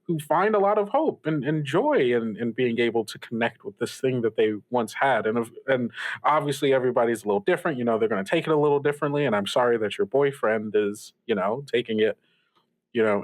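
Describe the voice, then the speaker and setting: A man's voice: medium pitch, fairly quick, natural voice, rough recording. A man playing an apologetic student radio host, stammering and placating in a casual improvised audio drama.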